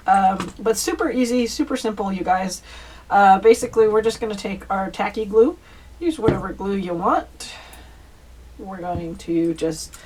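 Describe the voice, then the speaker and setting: A woman hosting a casual step-by-step craft tutorial, chatty and informal as she talks to viewers. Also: medium pitch. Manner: chatty, informal, addresses viewers as you guys. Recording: distant mic, faint background noise.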